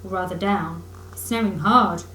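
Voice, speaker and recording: A woman voicing a good-natured, capable male river rat in a children's storybook, with a brisk, chummy, reassuring manner. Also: fairly low pitch, sharp tone, reverberant, a little hiss or hum, rough recording. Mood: matter-of-fact.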